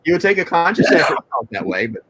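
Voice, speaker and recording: A man's voice: on the high side, big pitch swings, fast talker, a bit bright, slightly rough, dry recording. A man co-hosting a casual live chat, bantering and teasing in short conversational remarks. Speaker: co-host of a live chat show.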